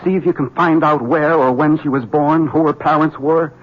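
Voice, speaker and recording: An actor playing an agitated, desperate husband in an old-time radio drama, speaking with intense, insistent urgency. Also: male voice, on the high side, fairly even intonation, normal speed, a little hiss or hum, lightly acted. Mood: desperate, urgent. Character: agitated, desperate husband convinced his wife is a witch. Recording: dull lo-fi vintage radio recording.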